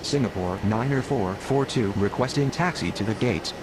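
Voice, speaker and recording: Over a clearly noisy background with vehicle rumble, an actor plays a businesslike man on airport radio. His voice is on the low side, and his delivery is procedural and formulaic. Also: normal speed, lightly acted. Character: businesslike male pilot and controller on airport radio. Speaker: air traffic radio voice. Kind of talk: scripted aviation radio phraseology.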